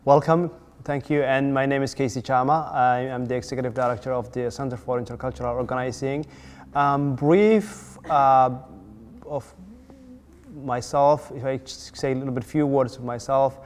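A man in his forties, from Somalia, facilitating a community workshop in a measured way, with many fillers and restarts. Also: mid-range voice, steady tone, darkish tone, in a large hall, a little hiss or hum. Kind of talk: workshop introduction. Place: community room, indoors.

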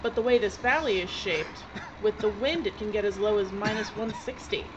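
A woman's voice: medium pitch, moderate pace, natural voice. A woman playing a bossy, irritable film director in an audio drama, curt and sarcastic. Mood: dry, irritated. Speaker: actor in radio drama. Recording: muffled, clear background noise.

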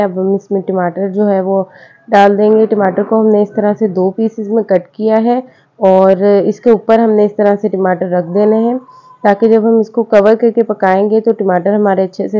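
A woman narrates a cooking video over the footage, friendly and patient. Her mid-range voice runs through each step in a chatty, repetitive home-cook way. The recording sounds a little dull and lo-fi. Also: mid-range voice. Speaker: cooking channel host. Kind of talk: voice-over recipe instructions.

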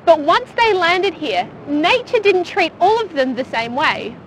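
A young woman talks through a geology lesson with playful enthusiasm, her voice set high. Light background rumble sits under her voice outdoors on a rocky beach. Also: very animated, measured pace, fairly bright tone, low-quality recording. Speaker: geologist presenter. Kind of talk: scripted science explainer.

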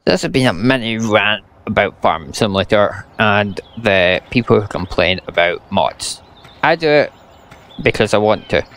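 A man's voice: on the low side, animated, normal speed, a bit bright. A young Scottish man giving blunt, sarcastic gameplay commentary and ranting about a farming game. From Scotland. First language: English. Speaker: gaming YouTuber.

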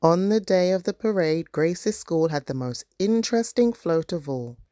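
A woman narrates a children's storybook as a parent reading aloud. Her voice is low and the sound is dry and close. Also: expressive, normal speed, warm tone, no room sound.

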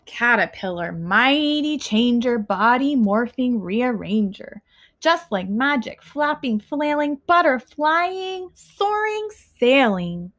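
A young adult woman reading a children's picture book aloud expressively, with deliberate, emphatic phrasing and pauses between short phrases. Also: on the high side, very animated, a bit bright. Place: small living room at home, indoors.

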